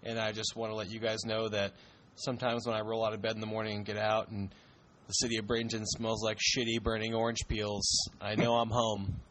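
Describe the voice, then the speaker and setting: A man's voice: lowish voice, monotone, brisk, fairly bright tone, slight background noise, rough recording. A man hosting a casual podcast chat with friends, joking and steering the talk. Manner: jokey, leads the segments. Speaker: podcast host. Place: home.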